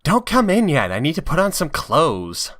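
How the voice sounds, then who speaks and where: Guy doing a sassy annoyed cartoon bat lady, scolding, pitched up and sharp. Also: wildly swooping pitch, moderate pace. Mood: indignant. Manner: indignant, scolding. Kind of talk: mocking fan fiction dramatic reading.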